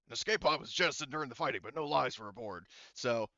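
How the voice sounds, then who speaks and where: A man acting as game master of a tabletop game, explaining rules casually in a halting, step-by-step way. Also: medium pitch, sharp tone, dry recording, lo-fi sound.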